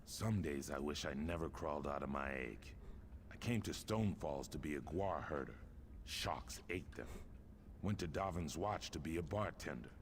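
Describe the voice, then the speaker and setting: Game role: gloomy reptilian drifter, extremely low voice, world-weary and rueful, slight background noise. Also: male voice, animated, normal speed. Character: gloomy, hard-luck lizard-man drifter. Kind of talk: video game character acting.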